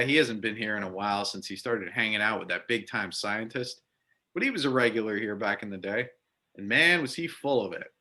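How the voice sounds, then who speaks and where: A man's voice: medium pitch, pushed and bright. A man playing a burly, affable biker bartender and war veteran, folksy and blunt, in an amateur readers theater. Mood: scornful.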